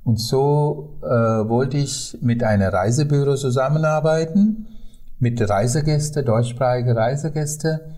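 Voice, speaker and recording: An older man from Sri Lanka, a non-native speaker with a Sri Lankan accent, telling stories haltingly as a tour guide in an interview. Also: mid-range voice, warm tone. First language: not German. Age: 50s or older. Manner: halting, non-native German with frequent hesitations. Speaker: tour guide, podcast guest. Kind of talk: conversational storytelling in an interview.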